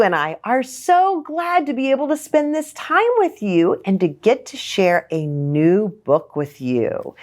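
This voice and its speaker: A woman hosting a children's story time, reading a picture book in an expressive, sing-song way and speaking to young children. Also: on the low side. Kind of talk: animated children's picture-book read-aloud.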